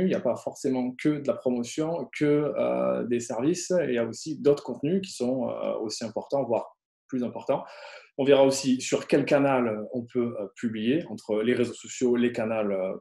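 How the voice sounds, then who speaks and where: A man co-presenting a live webinar, hesitant and halting as he explains. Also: mid-range voice, gravelly, dark tone, echoey room. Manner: hesitant, many fillers and restarts.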